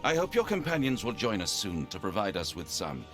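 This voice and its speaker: An actor playing a composed, scheming military lord in a fantasy video game, formal and measured. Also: male voice, fairly low pitch, wildly swooping pitch. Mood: calculating. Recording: loud game music behind.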